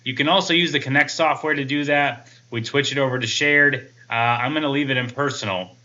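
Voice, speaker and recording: Guy doing a casual tech setup walkthrough, bright clear-cut voice, goes step by step. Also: medium pitch, fairly quick, highs cut off. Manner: methodical, informal step-by-step explaining. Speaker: tech product reviewer.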